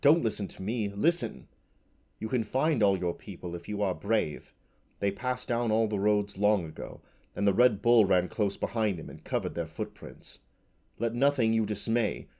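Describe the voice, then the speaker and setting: A man's voice: lowish voice, animated, moderate pace, warm tone. A man voicing a flighty, swaggering male butterfly who chants and sings jumbled scraps of songs and verse. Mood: urgent. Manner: sing-song, chanting, jumbled recitation. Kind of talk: audiobook character voice.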